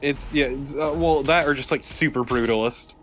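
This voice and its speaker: A man's voice: mid-range voice, normal speed. Man bantering over very noisy gameplay. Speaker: let's play co-commentator. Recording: loud game noise behind voice.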